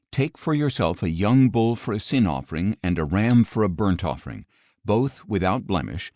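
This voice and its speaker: A man giving a measured, solemn narration of scripture as an audiobook reader. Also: low-pitched, a little mellow, no echo, clean studio sound.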